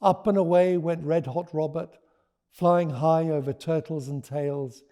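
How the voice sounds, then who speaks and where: A man reading a children's storybook aloud and talking about it, with deliberate, expressive phrasing. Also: fairly high pitch. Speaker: children's author reading his book aloud. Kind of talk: expressive storybook read-aloud with teaching notes.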